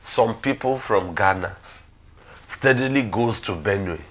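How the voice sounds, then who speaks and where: A man with a Nigerian accent giving detailed conversational analysis on a talk show, in a halting, thinking-aloud way. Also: lowish voice, fairly bright tone. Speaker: current affairs talk show speaker.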